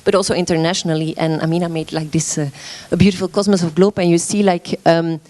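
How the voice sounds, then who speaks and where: A woman's voice: on the low side, fairly even intonation, fast, dark tone. A woman presenting her arts organization at a meeting, speaking hesitantly with frequent filler sounds and restarts. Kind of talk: conference presentation.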